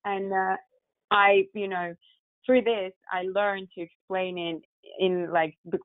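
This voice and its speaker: A woman's voice: mid-range voice, steady tone, slow pace, clean tone, dry recording. A woman with a Russian accent, telling her story as a company founder in a conversational interview, over a muffled, narrow recording. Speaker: tech company founder, podcast guest. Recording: muffled narrow phone-like sound.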